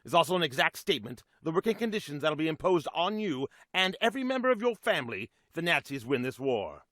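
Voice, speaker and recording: Dramatic 1940s radio orator, wide-ranging delivery. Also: male voice, a little high, a bit bright, dry recording, studio quality. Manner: rhetorical, emphatic, theatrical. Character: 1940s celebrity radio guest making grave wartime bond appeal. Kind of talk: 1940s radio acting, dramatic patriotic appeal.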